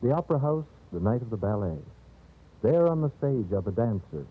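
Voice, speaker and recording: A man's voice: mid-range voice, very animated, a little fast. Tinny 1930s radio narrator, theatrical man. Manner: theatrical, picture-painting delivery. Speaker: radio show host and narrator. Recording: tinny narrow-band 1930s radio recording.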